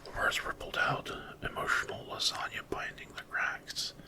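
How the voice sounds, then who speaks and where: A man narrating a dramatic, intense fantasy horror story entirely in ASMR whispers. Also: moderate pace.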